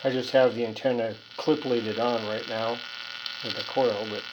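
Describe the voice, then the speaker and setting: A man giving a casual hands-on repair demonstration, rambling and halting as he thinks aloud. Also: mid-range voice, steady tone, very noisy. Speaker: hobbyist radio repairer, presenter.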